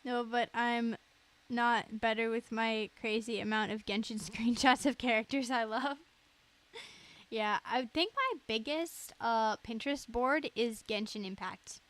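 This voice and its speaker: A woman's voice: on the high side, a little slow, a bit bright. A young woman live streamer chatting casually in a giggly, rambling way.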